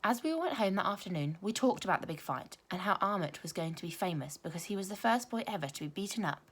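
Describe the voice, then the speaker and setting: A woman teacher reading a children's story aloud, with expressive, emphatic storytelling. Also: medium pitch, fast, slightly rough.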